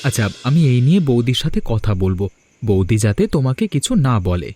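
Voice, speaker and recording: A man playing a mellow, consoling young husband. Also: mid-range voice, sing-song, fairly quick, soft background music. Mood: reassuring. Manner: consoling, earnest. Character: caring young husband, calm and reassuring younger son. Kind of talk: cartoon voice acting.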